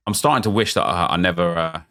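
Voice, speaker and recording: Man, very low voice, casual gaming chatter, hoarse. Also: fast talker. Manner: chatty, excitable, thinking aloud. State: losing his voice, getting unwell. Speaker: gaming YouTuber. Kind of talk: casual pack-opening commentary.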